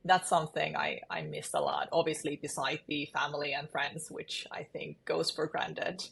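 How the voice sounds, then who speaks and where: A woman's voice: sits low, normal speed, creaky, a bit bright, slightly rough. A woman with a Finnish accent, speaking conversationally as an interview guest. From eastern Finland. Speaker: interview guest, sales leader.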